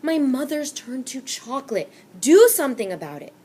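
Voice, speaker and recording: A woman voicing a desperate young boy who pleads loudly and urgently in a storybook reading. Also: high-pitched, very animated, normal speed, slightly rough, pitched above the performer's own voice, full character voice. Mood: frantic.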